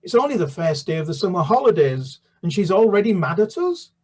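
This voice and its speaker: A man's voice: high-pitched, very animated, brisk, darkish tone, breathy edge, pitched above the performer's own voice, heavily acted. A British man reading aloud, voicing an indignant, bossy eldest girl of four sisters in a children's storybook.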